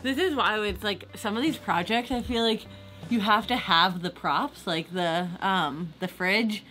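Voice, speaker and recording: A young woman vlogging casually. She thinks out loud and fills her talk with "like". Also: medium pitch, measured pace. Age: young adult. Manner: chatty, thinks aloud with many likes. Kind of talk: casual chat. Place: small wooden boat cabin, indoors. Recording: music playing under the voice.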